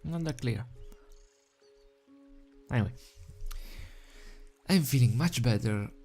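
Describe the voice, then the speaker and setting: A man's voice: mid-range voice, lively intonation, slow, vocal fry, dark tone, soft background music. A man voicing a confused, injured pegasus stallion with a hesitant, bewildered manner in a fan story reading.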